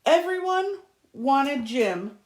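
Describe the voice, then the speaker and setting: A woman reading a children's storybook aloud in an expressive way, adding small asides for young listeners. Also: high voice, drawn-out words. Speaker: library storytime reader. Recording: echoey room.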